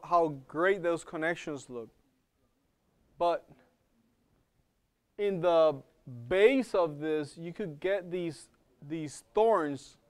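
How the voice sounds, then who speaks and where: Man with a smooth, fairly high voice. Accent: non-native English. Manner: conversational, checks in with "okay?" and repeats words while thinking. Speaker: health program lecturer. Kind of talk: live classroom lecture.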